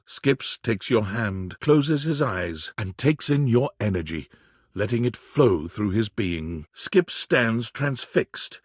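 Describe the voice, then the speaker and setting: A man narrating a supernatural video game in the second person, with measured, theatrical scripted delivery. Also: mid-range voice, slightly rough, no echo, studio quality.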